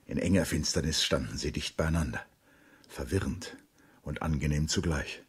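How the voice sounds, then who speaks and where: A man narrating as a grave, literary storyteller in a German radio drama, with a low, husky voice. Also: moderate pace, full character voice. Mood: grave, descriptive.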